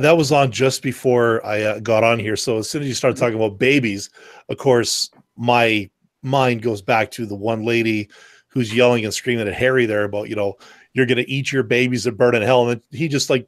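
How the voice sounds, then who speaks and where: A man's voice: mid-range voice, dry recording. A man co-hosting a casual round-table podcast, telling anecdotes and acting out the people in them, with a lot of swearing. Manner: storytelling, acts out quoted exchanges, swears freely. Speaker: podcast co-host.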